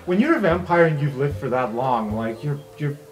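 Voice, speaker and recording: A man's voice: on the high side, expressive, moderate pace, faint music behind, close to an everyday voice, rough recording. A man playing a guarded, self-deprecating vampire student who speaks bluntly and hesitantly in improvised tabletop roleplay. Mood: dismayed.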